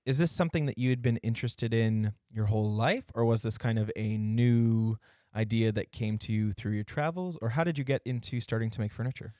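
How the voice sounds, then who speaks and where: A man's voice: medium pitch, brisk, darkish tone, no echo, studio quality. A man hosting a podcast, interviewing a guest in a friendly way and laughing easily. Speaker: podcast host.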